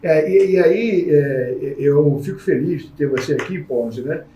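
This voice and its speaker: A man hosting a casual podcast conversation, a lively storyteller who acts out the people in his anecdotes. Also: a little high, measured pace, dull audio, a little hiss or hum. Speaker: podcast host. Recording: roomy sound from a distant mic.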